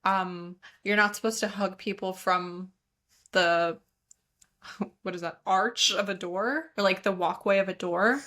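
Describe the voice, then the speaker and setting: A young woman with an American accent talks in a relaxed, chatty way, piling on fillers as she explains. Her voice is bright and forceful, sitting at an ordinary pitch for a woman. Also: unhurried. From United States. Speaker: podcast co-host. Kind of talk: casual chat.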